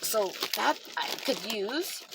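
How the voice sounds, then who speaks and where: A woman's voice: a little high, lively intonation, slow pace, creaky, very noisy. A woman with a Guyanese accent, chatting casually as she shows off her craft supplies and thinks aloud with trailing restarts. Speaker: craft channel host.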